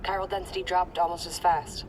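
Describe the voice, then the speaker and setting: An actress playing a calm, caring colleague on comms in a video game, speaking in a measured, soothing way. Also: female voice, fairly low pitch, slight background noise, lightly acted, poor audio. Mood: puzzled, matter-of-fact. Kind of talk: naturalistic video game acting.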